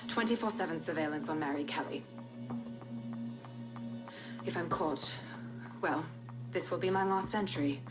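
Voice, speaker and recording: A serious lady cop doing a game video log, low voice, deliberate, music behind her. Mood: determined. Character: resolute female police inspector recording a secret investigation log.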